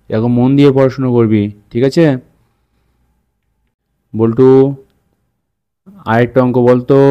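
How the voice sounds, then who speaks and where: A man's voice: mid-range voice, dark tone, clear, resonant tone, close to an everyday voice. A man playing a village father who teaches his children firmly, with praise, in a puppet story. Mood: pleased, instructing. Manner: instructive, parental.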